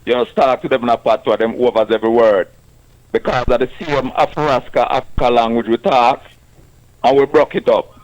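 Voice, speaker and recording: A man with a Jamaican accent calling a radio show, chatting in an animated way in patois. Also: medium pitch, moderate pace, rough recording. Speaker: radio caller.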